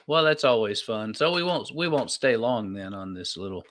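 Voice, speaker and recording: A man's voice: lowish voice, expressive, normal speed, fairly bright tone. A man with a folksy, informal manner, chatting casually as a podcast co-host. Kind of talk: casual chat.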